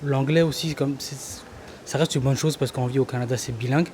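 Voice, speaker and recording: A man's voice: medium pitch, steady tone, fairly quick, darkish tone, a little hiss or hum. A man student speaking in a firm, plain way.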